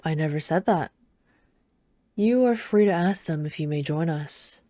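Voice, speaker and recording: Woman as a low-voiced, restrained dutiful knight. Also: dark tone, dry recording. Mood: wry, restrained. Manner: dry, restrained, formal, measured. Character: reserved, dutiful female knight, dryly scolding royal lover. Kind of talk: ASMR roleplay acting.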